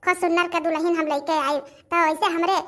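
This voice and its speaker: A woman's voice: extremely high pitch, steady tone, moderate pace, fairly bright tone. A woman voicing village women of a household in a cartoon family comedy, chatting repetitively in a rustic Awadhi accent. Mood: admiring, gossipy. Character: village women of a household, gossiping, admiring and grumbling in-laws.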